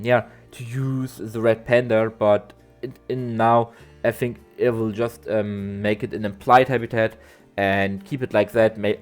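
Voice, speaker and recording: A man, a bit nasal from allergies, doing a halting voiceover for a game build video with lots of ums and restarts. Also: on the low side. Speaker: zoo-building game creator. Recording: soft guitar music behind.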